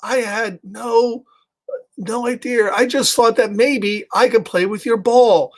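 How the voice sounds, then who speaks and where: A man's voice: very high voice, steady tone, slow pace, pitched above the performer's own voice, heavily acted. A man voicing a small, polite, apologetic female bluebird in a children's picture book.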